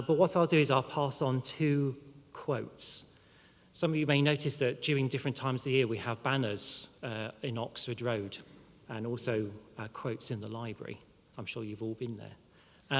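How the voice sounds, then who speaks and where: Guy giving a graduation speech, slow careful delivery, big echoey hall. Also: medium pitch, vocal fry. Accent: non-local, not Mancunian. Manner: measured, with pauses and fillers. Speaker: university official giving a graduation address. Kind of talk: formal ceremony speech. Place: large hall. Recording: long reverb of a large hall.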